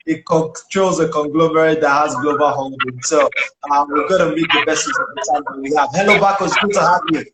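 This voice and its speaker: A man's voice: on the high side, steady tone, a little fast. A man in his thirties hosting a conversational live online interview over a video call. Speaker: show host, interviewer. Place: small indoor room. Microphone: close phone or webcam with wired earphones on video call. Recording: roomy echo, faint noise, clicks.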